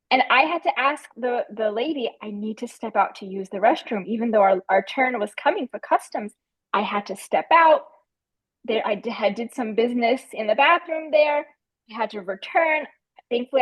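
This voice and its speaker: An American woman with a fairly high voice chats as a podcast guest. She tells personal anecdotes in a casual, conversational way. The audio is a little dull and lo-fi. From United States.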